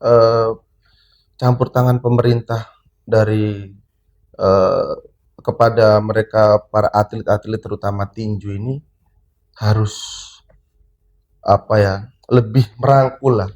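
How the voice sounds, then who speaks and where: Laid-back podcast host guy, mellow warm voice, chatty, jokey, lots of quick questions. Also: on the low side, fairly even intonation. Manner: chatty, joking, asks many short questions. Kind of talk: casual podcast interview.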